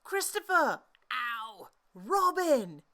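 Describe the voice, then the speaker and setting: A woman voicing an earnest, naive male toy bear who schemes in a deliberate, exclamatory way for a storybook. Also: fairly high pitch, big pitch swings, bright, forceful tone, pitched above the performer's own voice, full character voice. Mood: urgent, decided.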